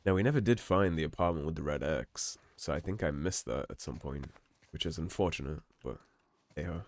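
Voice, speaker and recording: A man giving relaxed, musing commentary over a game playthrough, his voice slightly hoarse. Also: very deep voice, a little fast, a little hiss or hum. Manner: relaxed, musing, reads in-game notes aloud. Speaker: game streamer. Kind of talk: let's play commentary.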